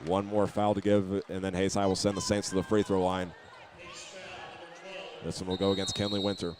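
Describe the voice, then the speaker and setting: A man's voice: low-pitched, level tone, a little fast, a little mellow. A man calling live basketball play-by-play from an indoor arena, occasionally stumbling over or repeating a word. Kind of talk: live sports commentary. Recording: noisy gym ambience behind voice.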